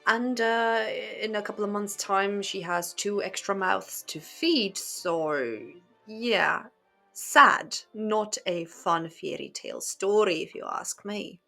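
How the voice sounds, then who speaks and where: A woman's voice: mid-range voice, slow, vocal fry, pushed and bright, soft background music. A woman giving casual commentary to viewers as a host, talking in a hesitant, wry way with rhetorical asides. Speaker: host of a book and fairy tale commentary channel.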